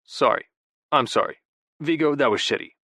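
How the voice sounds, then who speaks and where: A man's voice: medium pitch, moderate pace, bright, forceful tone, slightly rough, dry recording. An easygoing, blunt brother who speaks in a plain, matter-of-fact way, as a male reader voices him. He keeps to the reader's natural voice. The recording is dry and close.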